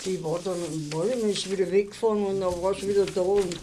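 An older woman with a Bavarian accent talks informally about her memories. Her pitch is medium, with faint noise on the interview recording. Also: steady tone, a little fast, mellow. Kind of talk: informal reminiscence.

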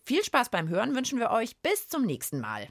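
A woman presents a children's science podcast. Her talk is casual and conversational, with lots of rise and fall in pitch. The sound is dry and close. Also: wildly swooping pitch, brisk, no echo. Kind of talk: lively scripted-casual chat.

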